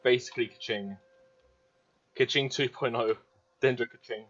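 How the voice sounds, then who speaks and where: A man's voice: medium pitch, fairly even intonation, normal speed, fairly bright tone. A man giving casual playthrough commentary on a video game, in short, offhand, muttered reactions. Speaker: gamer playing and commenting. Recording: dull audio with game music. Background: game music.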